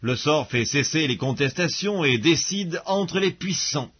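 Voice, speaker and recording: A man reads scripture aloud as an audiobook narrator, solemn and measured, with formal diction, on a dull recording. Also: on the high side, animated, slightly rough.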